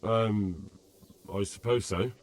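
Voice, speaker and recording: An actor playing a young, pragmatic medieval investigator in a video game, plain-spoken and full of direct questions. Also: male voice, on the low side, takes it slowly. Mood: questioning. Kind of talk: video game cutscene acting. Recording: clear music behind the voice.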